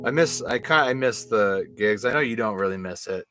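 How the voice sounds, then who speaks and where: A young man co-hosting a casual live stream, relaxed and joking as he rambles through memories. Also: fairly low pitch, fairly quick, loud music behind the voice. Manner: laid-back, joking, rambling.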